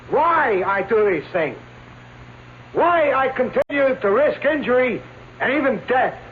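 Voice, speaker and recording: A grandiose old escape artist. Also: male voice, very high-pitched. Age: older. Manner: grand, theatrical showman patter. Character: elderly male stage escape artist, boastful showman. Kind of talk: 1940s radio acting. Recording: tinny old radio recording with hiss.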